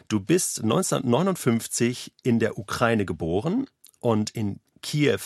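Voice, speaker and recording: A man's voice: medium pitch, gravelly, dry recording, studio quality. Man hosting an interview podcast. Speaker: podcast host. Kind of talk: introducing guests and interviewing. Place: studio.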